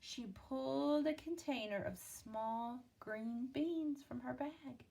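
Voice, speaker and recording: A woman's voice: sits high, takes it slowly, gravelly, warm tone. A woman teacher reading a picture book aloud expressively, talking simply as if to a young child. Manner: explains and asks in simple child-directed words.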